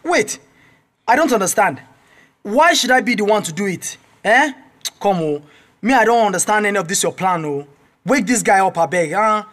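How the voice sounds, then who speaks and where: A careless young Nigerian man in a radio drama. His voice sits high, and he argues dismissively, shrugging off any blame. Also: expressive, moderate pace, fairly bright tone. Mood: defensive, irritated. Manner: dismissive, argumentative.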